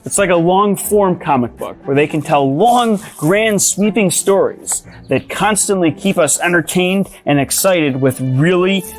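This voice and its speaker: A woman's voice: on the low side, measured pace, few pauses. A woman presenting an educational children's show in an explanatory, encouraging manner. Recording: music behind the voice.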